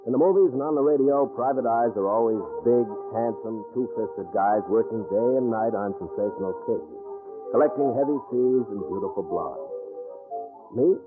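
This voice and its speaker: An American actor playing a wry, tough, slangy little private eye in a 1940s radio drama. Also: male voice, mid-range voice, moderate pace, natural voice. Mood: wry, self-mocking. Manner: slangy, wisecracking, deadpan. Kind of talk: 1940s radio detective acting and narration. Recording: tinny, band-limited 1940s radio recording, music behind.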